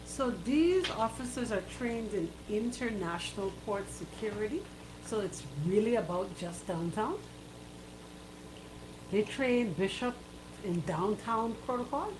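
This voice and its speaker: A woman's voice: medium pitch, expressive, measured pace, background noise. A woman with a Bahamian accent co-hosting radio, giving opinionated banter and repeating phrases for emphasis. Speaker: radio co-host.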